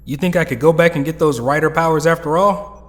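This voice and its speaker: A man's voice: a little high, fast, a bit bright, natural voice. A man playing a loyal, joking young former superhero in an audio drama, with laid-back, slangy talk. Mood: teasing, supportive. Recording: long hall reverb.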